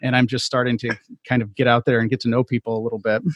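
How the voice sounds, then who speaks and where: A man's voice: medium pitch, level tone, racing, no room sound, muffled recording. Agreeable man chatting, dry close sound. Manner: agreeable, chuckles often. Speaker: podcast host, self-publishing game designer. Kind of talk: casual interview chat.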